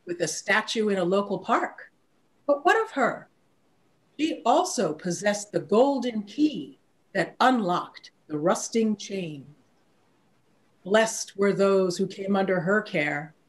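An older woman reciting poetry in a measured, declamatory manner. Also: mid-range voice, poor audio. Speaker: poetry reader.

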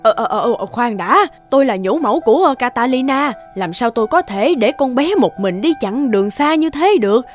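Woman playing a greedy bossy cartoon nursemaid villain, sly, high n very animated. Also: moderate pace, hardly any pauses, soft background music, close to an everyday voice. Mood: wheedling. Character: scheming, greedy middle-aged nursemaid villainess, bossy mother. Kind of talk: Vietnamese cartoon fairy-tale acting.

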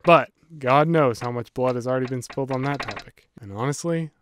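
A man's voice: mid-range voice, brisk, a little hiss or hum. A man reading a scripted voice-over narration in a wry, mock-literary manner.

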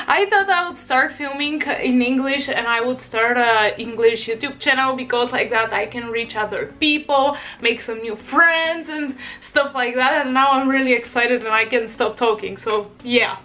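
A woman's voice: high-pitched, measured pace, bright, forceful tone. A teenage girl from Bulgaria with a Bulgarian accent, chatting to camera in her bedroom, bubbly and giggly with lots of fillers. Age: teens. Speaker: vlogger. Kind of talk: casual chat to camera. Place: small bedroom, indoors. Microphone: camera in front.

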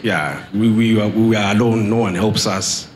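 A man's voice: on the low side, fairly even intonation, a little hiss or hum. A man from Zimbabwe speaking hesitantly into a handheld mic in a large church hall. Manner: hesitant, halting. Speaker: congregant.

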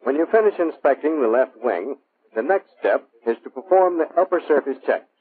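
A tinny, narrow-band vintage military film soundtrack carries an American man's narration. His voice is rough-edged and sits at a middle pitch. He delivers scripted instructions in a clipped, measured way, like a formal training film narrator. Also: animated.

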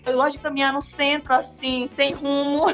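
A woman with a fairly high voice and a Manaus accent speaks in a casual interview. She is on a video call from a room, and music plays lightly behind her. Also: level tone, normal speed, lo-fi sound. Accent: Manaus Brazilian Portuguese. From Manaus, Brazil. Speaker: interviewee, singer. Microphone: phone or computer on a video call.

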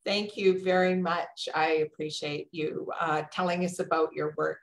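A woman hosting an informal online event in a halting, polite manner. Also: low-pitched, steady tone. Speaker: host. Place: indoor room on a video call. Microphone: video call microphone. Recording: roomy, echoing video call.

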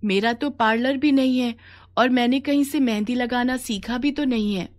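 A woman's voice: a little high, moderate pace, voice pushed up, natural voice. A woman voicing an eager, tender-hearted young girl who paints henna, in a cartoon story. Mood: eager, modest.

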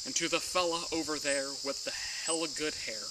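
A man in his thirties playing a pompous orator, giving a mock-serious, theatrical recitation of pop lyrics with halting, deliberate pauses. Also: a little high, steady tone, close to an everyday voice. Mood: declaiming, intense. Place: outdoors in leafy woods. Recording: outdoors with loud insect and woodland noise.

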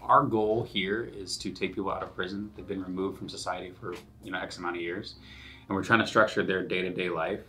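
A man, a program manager, explaining the program in a brisk, explanatory way. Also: low voice, steady tone, sharp tone, soft background music. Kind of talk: documentary interview.

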